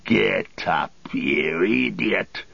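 A man voicing a sneering fallen old wizard reduced to beggary, in a cracked, husky voice with mocking archaic phrasing, as in an audiobook. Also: very deep voice, steady tone, unhurried, a little mellow, no echo, lo-fi sound, full character voice. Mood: scornful, gloating.

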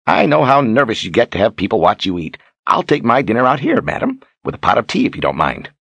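Sly boastful old wizard, voice pitched way up, gravelly, folksy and grand. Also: male voice, huge pitch swings, quick pace, a bit bright, no echo, full character voice. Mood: jovial, grandiose. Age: older. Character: theatrical, boastful, sly old wizard posing as fortune-teller. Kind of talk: audiobook character acting.

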